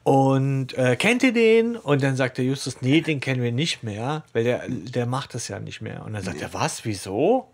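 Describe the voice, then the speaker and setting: A man's voice: mid-range voice, lively intonation, moderate pace, creaky, hardly any pauses, husky. A man co-hosting a podcast, retelling and critiquing a story in colloquial speech with quoted lines thrown in. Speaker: podcast host.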